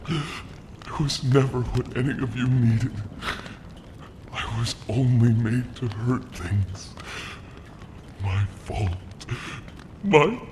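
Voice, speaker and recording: A man voicing a tormented, volatile monster in a horror video game, guilt-ridden and vengeful, speaking in halting fragments. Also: medium pitch. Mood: broken, remorseful, bitter. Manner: halting, fragmented, swinging between muttering and shouting. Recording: cavernous reverb over ambient noise.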